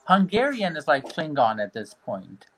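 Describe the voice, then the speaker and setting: A man from Croatia, a native Croatian speaker, chatting in a relaxed, rambling way. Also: a little high, moderate pace, noisy background. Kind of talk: casual group chat.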